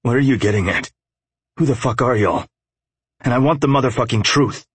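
A man's voice: low-pitched, normal speed, husky, no echo. A man voicing an angry, defiant, foul-mouthed young man who snarls and demands in an audiobook story. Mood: angry, demanding.

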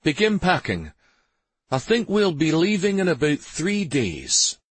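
A man performs a young warrior of rank in a fantasy audiobook. He is dutiful but weary, earnest with a sour streak. The voice is a little husky and expressive, recorded close and dry. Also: fairly high pitch, a little slow, no room sound, voice pushed up, heavily acted. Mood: decisive. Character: brooding young male war master, weary and dutiful.

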